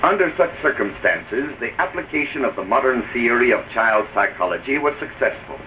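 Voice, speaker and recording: A man's voice: medium pitch, animated, normal speed, bright, forceful tone, a little hiss or hum. A man giving formal, didactic narration in the style of an old radio advice commentator. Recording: dull vintage soundtrack with music.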